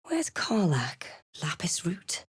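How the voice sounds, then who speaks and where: A woman playing a wry, wary halfling adventurer in a fantasy video game, with a measured, dramatic delivery. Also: fairly low pitch, wildly swooping pitch, breathy edge, no echo, clean studio sound, full character voice. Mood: hushed. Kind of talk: scripted video game voice lines and narration.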